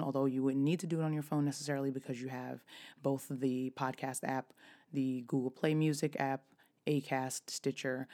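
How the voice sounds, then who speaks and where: A woman in her thirties hosting her own podcast, her voice raspy and hoarse, talking casually with frequent restarts. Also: extremely low pitch, a little mellow. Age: 30s. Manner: chatty, with frequent restarts and fillers. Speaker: podcast host. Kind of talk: casual solo podcast talk.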